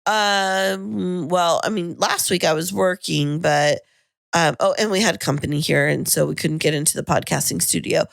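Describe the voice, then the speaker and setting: A woman co-hosting a podcast in casual chat, her voice husky and a little raspy from a lingering cold, talking in a chatty, rambling way. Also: fairly low pitch, moderate pace, no echo, studio quality. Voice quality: slightly raspy. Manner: chatty, self-deprecating, rambling. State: husky tail-end-of-cold voice. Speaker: podcast co-host. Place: home podcasting studio.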